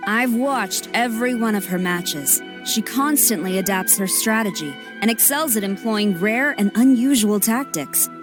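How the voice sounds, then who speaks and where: An actress playing a stern, formal female general in a game cutscene, speaking in a clipped, measured way. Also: medium pitch, lively intonation, music in the background, natural voice.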